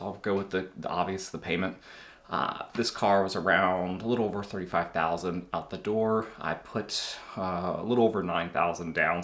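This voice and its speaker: A man's voice: low-pitched, echoey room. A man in his thirties hosting his own channel, talking casually with plenty of fillers and restarts. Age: 30s. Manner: relaxed, chatty, lots of fillers. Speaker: car channel host. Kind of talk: casual talk to camera.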